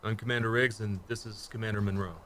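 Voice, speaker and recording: A man's voice: fairly low pitch, fairly even intonation, fast, pushed and bright, slight background noise. A man playing a weary, wary space commander in a sci-fi radio play, speaking tersely.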